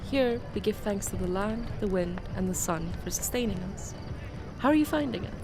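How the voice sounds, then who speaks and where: A woman playing a kind, welcoming townswoman in a radio drama, speaking gently. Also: medium pitch, lively intonation, moderate pace, over music, natural voice. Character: kind, welcoming townswoman of a peaceful eco-city. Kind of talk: radio drama dialogue and storytelling. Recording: noisy outdoor ambience behind the voice.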